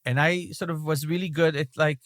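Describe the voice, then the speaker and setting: A man's voice: on the high side, fairly even intonation, fairly quick, fairly bright tone, dry recording, clean studio sound. A man from Belgium with a Belgian accent, a rabbi talking as a podcast guest in a discursive way, often checking in with "right?". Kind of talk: reflective conversational interview.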